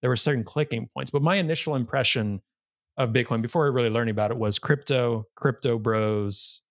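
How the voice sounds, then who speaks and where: A man's voice: mid-range voice, brisk, dry recording, clean studio sound. A man hosting a podcast, talking casually and rambling, leaning heavily on "like" and restarting his sentences. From United States, Massachusetts area. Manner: rambling, heavy use of "like", restarts. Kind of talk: casual interview conversation.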